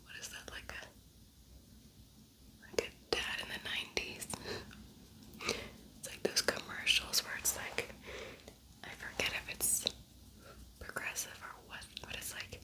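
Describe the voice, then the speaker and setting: A woman whispers throughout in a breathy, voiceless tone. She talks in a casual ASMR tutorial style, rambling a little as she thinks aloud. Her delivery is chatty and informal. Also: measured pace, long pauses, low-quality recording. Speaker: ASMR creator and makeup tutorial host.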